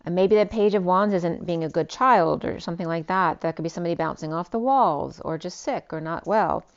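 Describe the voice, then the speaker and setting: Woman tarot reader, smooth and lowish. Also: brisk, highs cut off. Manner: rambling, free-associating, many restarts. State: losing her voice. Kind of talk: spiritual tarot reading.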